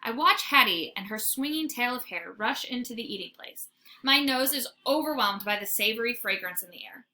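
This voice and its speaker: A woman reading a children's book aloud like a teacher, with dramatic, expressive storytelling. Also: on the high side, normal speed. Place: informal homemade recording. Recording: faint background noise, homemade recording.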